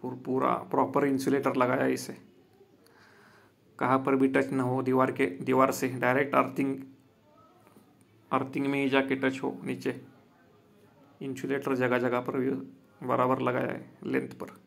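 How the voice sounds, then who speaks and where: Installer showing off his work: a man, even-toned, rough and gravelly, outdoors with a phone mic. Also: mid-range voice, unhurried, long pauses. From Maharashtra, India. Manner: plain, repetitive, addresses viewers as friends. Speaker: solar installer and business owner. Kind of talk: walkthrough video commentary. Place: outdoor rooftop.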